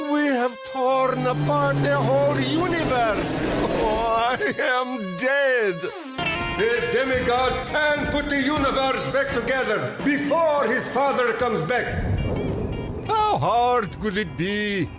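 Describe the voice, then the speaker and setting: A man's voice: extremely high pitch, animated, slow, pitched above the performer's own voice, full character voice. A man voicing a young, carefree, party-loving demigod who narrates a comical game story. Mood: comic dismay. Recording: loud music under the voice. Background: music.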